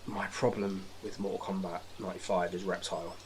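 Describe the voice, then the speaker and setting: A man with a fairly low voice speaks as a podcast guest. He chats casually about films, with some noise audible in the recording. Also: level tone, brisk. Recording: noticeable background noise.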